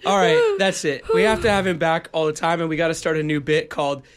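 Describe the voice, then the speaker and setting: A man hosting a podcast, joking with guests and running through a rapid sign-off of plugs and shout-outs. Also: a little high, fairly even intonation. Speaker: podcast host. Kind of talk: casual chat and show sign-off.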